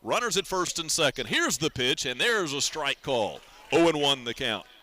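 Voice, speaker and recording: Bright, forceful male baseball radio announcer. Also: a little high, very animated, normal speed. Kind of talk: live radio sports commentary.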